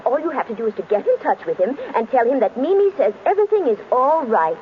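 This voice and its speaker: A woman's voice: on the high side, very animated, fast. An actress playing a gushing, scheming society mother with a theatrical, scolding manner in an old radio drama. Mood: pleading. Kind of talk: 1930s radio drama acting. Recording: dull, noisy old radio recording.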